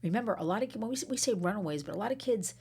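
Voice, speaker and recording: Hoarse, husky-voiced American woman. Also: medium pitch, lively intonation, rapid-fire, a little mellow. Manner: emphatic, rambling with restarts. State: hoarse, losing her voice. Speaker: anti-trafficking advocate. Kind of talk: urgent advocacy talk.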